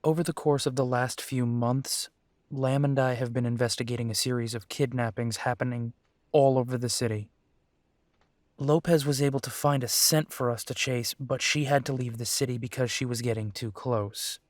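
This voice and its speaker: A man's voice: medium pitch, fairly even intonation, normal speed, dry recording, clean studio sound, lightly acted. A man playing a young, protective and determined werewolf in an audio roleplay, speaking earnestly. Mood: serious, determined.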